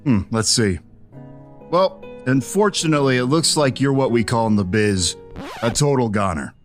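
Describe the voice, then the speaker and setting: A man's voice: mid-range voice, animated, normal speed, soft background music, lightly acted. A man voicing a careless, cheerfully tactless cartoon doctor with a flippant, casual manner. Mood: casual, breezy. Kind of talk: cartoon voice acting.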